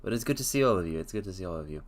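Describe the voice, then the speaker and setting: A man's voice: deep voice, lively intonation, very fast. A man chatting on a live stream in a playful, theatrical manner, addressing his listeners as mortals. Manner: hums softly to himself between phrases. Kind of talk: casual live-stream chat.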